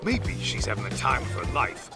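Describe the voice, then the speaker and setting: An actor with an American accent playing a gruff, laid-back middle-aged ex-yakuza, blunt and slangy, in a game dub. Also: male voice, mid-range voice, very animated, moderate pace, close to an everyday voice. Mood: curt. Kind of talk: English video game dub acting. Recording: music behind the voice. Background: game music.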